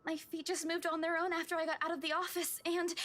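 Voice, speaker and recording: A young woman from a visual novel game. Her voice is extremely high, and she speaks timidly, with a halting, shaken and apologetic manner. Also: little pitch movement, fairly bright tone.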